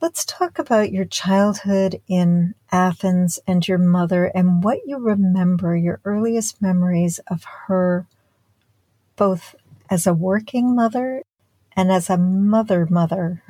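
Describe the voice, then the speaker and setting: Mellow, lower-voiced woman interviewer. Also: fairly even intonation, slow pace, no echo. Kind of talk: interview questioning.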